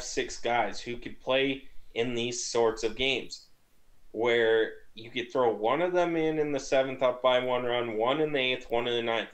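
A young man on a gaming headset talking through a baseball game recap from a small room at home, in quick, lively conversational analysis. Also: mid-range voice, poor audio. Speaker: baseball podcast co-host. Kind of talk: casual post-game recap.

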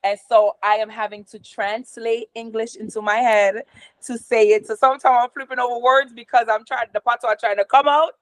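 A middle-aged woman with a patois accent talks on a video call from a small room at home, her fairly high voice sounding dry and close. She explains things in a steady, teaching way, with patois as her first language showing through her English. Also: moderate pace, dry recording, poor audio. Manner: explanatory, steady, gestures a lot with her hands. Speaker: host. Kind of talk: casual conversation explaining therapy methods. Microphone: video call from a computer camera.

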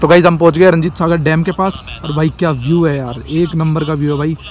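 A young man in his twenties, talking casually and excitedly to his phone camera on a road trip, from a car and by a lakeside. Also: fairly high pitch, quick pace. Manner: chatty, excitable, keeps saying "bhai". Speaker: vlogger. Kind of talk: casual travel vlog. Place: inside a car and outdoors by a dam. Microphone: phone camera at arm's length. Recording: phone recording with clear road and wind noise.

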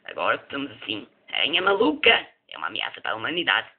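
Blustering boss played by a man, wildly swinging pitch. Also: huge pitch swings, racing, creaky, a little hiss or hum. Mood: indignant. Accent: European Portuguese. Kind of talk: amateur comic film dub. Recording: tinny, phone-like homemade dub.

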